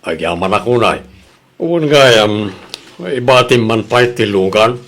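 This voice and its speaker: A man's voice: fairly low pitch, expressive, a little slow, fairly bright tone. A man with an Ilocano accent playing a money-minded jeepney owner, a casual and self-interested boss in a comedy radio drama. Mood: offhand.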